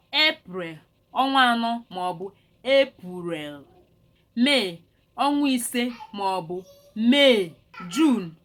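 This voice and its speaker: A woman with a Nigerian Igbo accent gives an instructional language lesson at a middling pitch. As a native Igbo speaker and teacher, she sets out each term deliberately and repeats it for learners. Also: a bit bright.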